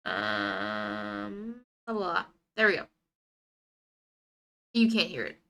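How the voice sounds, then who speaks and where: A woman's voice: deep voice, very animated, takes it slowly, pushed and bright. A young woman with a congested nose chatting casually on a game stream, drifting into muttered asides to herself. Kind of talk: casual live stream chat while playing a game.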